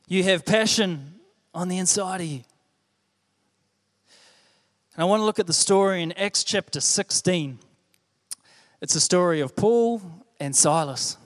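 A young man with a New Zealand accent preaches a sermon in a high, light voice. He talks earnestly and conversationally, often doubling back to repeat his words. The sound carries a long echo from a church hall. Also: moderate pace. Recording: echoey hall.

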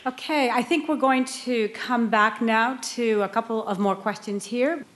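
Female moderator, medium pitch, live town hall, short prompts and handovers. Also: a little slow.

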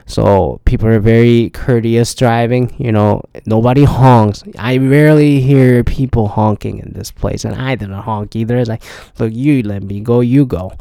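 A man's voice: mid-range voice, fairly even intonation, moderate pace, gravelly, mellow, clean tone, dry recording. A tired man talking casually in a rambling, profane solo podcast, with many restarts. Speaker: podcast host. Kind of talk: casual solo travel chat.

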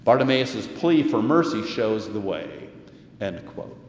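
A man preaching a sermon as a pastor, with a measured, emphatic delivery and deliberate pauses. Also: on the low side, big pitch swings, a little mellow, highs cut off. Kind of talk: sermon with scripture and commentary quotes. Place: large echoing church or hall. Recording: echoey hall with long reverb.

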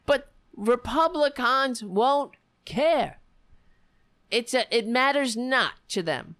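A woman hosting a solo political show, ranting sarcastically and going hoarse as she loses her voice. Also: a little high, lively intonation, slow pace, a bit bright, dry recording. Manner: ranting, sarcastic, scattered asides. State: losing her voice. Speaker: political podcast host.

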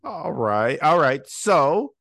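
A man's voice: on the high side, sing-song, slow pace, gravelly, fairly bright tone, no room sound, studio quality. A man hosting a radio interview, asking teasing questions and laughing along. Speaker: radio host. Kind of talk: casual interview.

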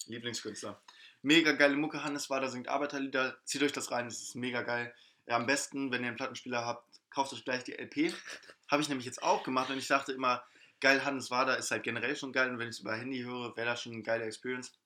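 A man's voice: mid-range voice, flat delivery, fast, bright, forceful tone. A young man co-hosting a casual podcast, talking in an enthusiastic, slangy, joking way. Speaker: podcast co-host. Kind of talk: casual chat.

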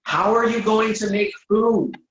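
A man acting a reluctant, sensible friend with a halting, incredulous manner in a readers theatre comedy, recorded in an echoing room. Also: high voice, steady tone, a bit bright, dull audio. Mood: exasperated. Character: exasperated, reluctant male friend, sensible but awkwardly polite.

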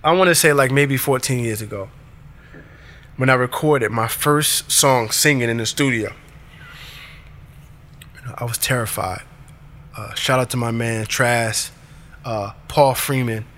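A man with a raspy voice hosting his own show, delivering an emphatic motivational monologue in short punchy commands. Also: medium pitch, a little slow, long pauses, a bit bright, a little hiss or hum. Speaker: podcast host.

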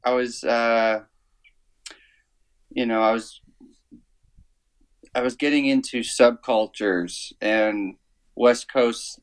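Low-key young man from Canada. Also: low-quality recording. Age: 20s. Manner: low-key, hesitant, many fillers and restarts. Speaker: musician guest. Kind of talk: casual interview conversation.

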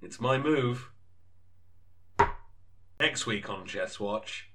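A man hosting a comedy podcast in a mock-serious, deadpan announcer style. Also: lowish voice, drawn-out words, a little hiss or hum. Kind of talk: mock-serious sketch presenting.

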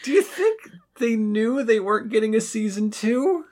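A woman's voice: on the high side, lively intonation, normal speed, a little mellow. A woman co-hosting a podcast with wry, amused commentary and casual banter. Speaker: podcast co-host.

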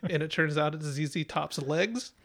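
A man's voice: a little high, moderate pace, vocal fry, fairly bright tone. Chatty, laid-back podcast host man. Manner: laid-back storyteller, chuckling. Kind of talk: casual chat.

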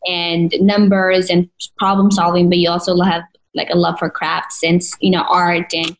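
A woman's voice: fairly low pitch, flat delivery, moderate pace, slight background noise, rough recording. A woman interviewing a guest, asking questions in a friendly, conversational way with fillers.

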